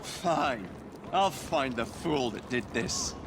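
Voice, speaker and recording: Cocky, lively-voiced English rogue. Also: male voice, mid-range voice, slow, background noise. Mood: exasperated. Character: cocky, impatient young male English rogue. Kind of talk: video game cutscene acting.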